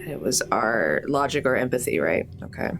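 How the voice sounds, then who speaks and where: A woman's voice: extremely low pitch, animated, normal speed, slight background noise. A woman chatting casually as a player in a tabletop roleplaying game.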